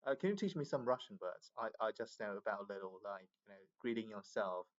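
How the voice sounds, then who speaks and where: Man, casual talkative chatter, low voice on dull lo-fi audio. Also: animated, quick pace. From Myanmar. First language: Burmese. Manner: talkative, many fillers. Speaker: English learner. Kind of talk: casual video chat.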